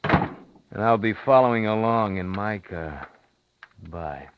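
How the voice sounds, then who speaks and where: Deep, domineering thug, swooping pitch. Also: male voice, lively intonation, slow pace, background noise. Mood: cold, commanding. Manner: taunting, commanding. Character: menacing, domineering male accomplice, smug and brutish. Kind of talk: 1950s radio thriller acting. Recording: muffled 1950s radio recording.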